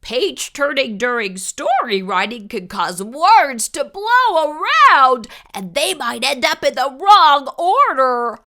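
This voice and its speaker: A woman's voice: sits high, very animated, slow pace, few pauses, sharp tone, voice pushed up, full character voice. A woman voicing a grumpy, bossy male pig writer in a children's picture book, with an indignant, scolding manner. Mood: exasperated. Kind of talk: animated children's read-aloud character voice.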